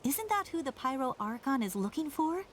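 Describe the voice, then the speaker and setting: A woman's voice: high voice, big pitch swings, moderate pace, a little hiss or hum. A woman playing an earnest, alert young scout girl in a video game, speaking eagerly. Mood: alert, urgent.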